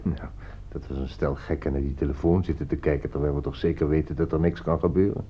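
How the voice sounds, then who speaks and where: An actor playing a detached, philosophizing companion in a radio play, measured and matter-of-fact. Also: male voice, extremely low pitch, fairly even intonation, husky. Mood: musing. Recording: dull lo-fi radio play recording.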